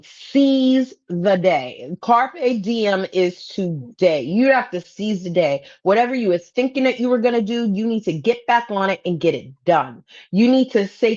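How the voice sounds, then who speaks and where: A woman's voice: medium pitch, darkish tone. Teasing woman with a dull lo-fi sound. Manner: teasing, playfully mimics her co-host. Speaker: podcast co-host. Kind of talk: casual chat.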